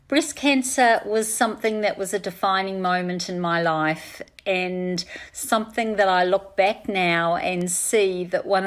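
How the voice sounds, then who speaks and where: A woman giving an earnest, reflective prepared message. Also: lowish voice. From Australia. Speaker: guest speaker.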